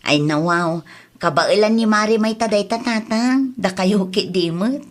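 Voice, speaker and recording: A breezy village shopkeeper, played by a woman in a rural comedy drama. She chats easily in an Ilocano accent, with a medium-pitched voice for a woman. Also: unhurried, natural voice. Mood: reassuring. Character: easygoing, chatty village woman renting out costumes.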